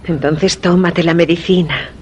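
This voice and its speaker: An actress with a Castilian Spanish accent playing a cool, enigmatic wife in a suspense radio drama, curt and controlled. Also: female voice, on the low side, steady tone, a little slow, lo-fi sound, lightly acted. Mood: coaxing, insistent. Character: cool, secretive, enigmatic wife of an ailing man.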